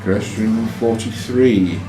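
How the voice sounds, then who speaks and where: A man's voice: on the low side, slow pace. A man hosting a live quiz, reading out questions and bantering with the players. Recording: echoey room with music.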